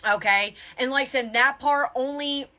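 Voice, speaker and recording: A woman's voice: high voice, moderate pace, sharp tone. A woman giving motivational spiritual advice as a tarot reader, in a blunt, emphatic tough-love manner with casual swearing.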